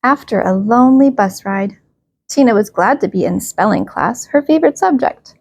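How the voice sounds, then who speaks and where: A woman's voice: a little high, expressive, moderate pace, vocal fry, husky, dry recording. Woman reading a children's story, dry sound. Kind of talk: expressive read-aloud bedtime story narration.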